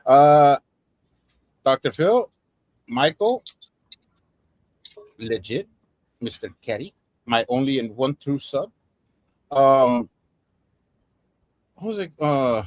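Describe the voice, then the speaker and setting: A man's voice: mid-range voice, dry recording, low-quality recording. A man with a Los Angeles accent chatting casually as a guest on a live stream, giggling and stammering often. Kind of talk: casual chat.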